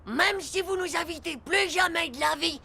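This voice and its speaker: A man voicing a blunt, cheeky, grumbling young schoolboy in a cartoon, with a whiny, creaky voice. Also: extremely high pitch, normal speed. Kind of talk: cartoon voice acting.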